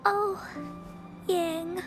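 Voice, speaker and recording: A woman voicing a spirited, adventurous little girl in a video game, expressive and theatrical. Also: extremely high pitch, drawn-out words, heavily acted. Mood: subdued, sympathetic. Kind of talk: video game character acting. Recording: music clearly behind the voice.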